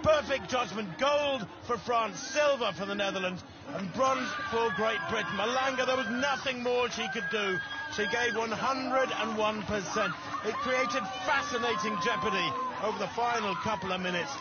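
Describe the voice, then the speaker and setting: A man commentates live on a race with a very high, excited, projecting voice. A loud outdoor crowd roars behind him. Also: moderate pace, lo-fi sound, very noisy. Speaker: sports commentator.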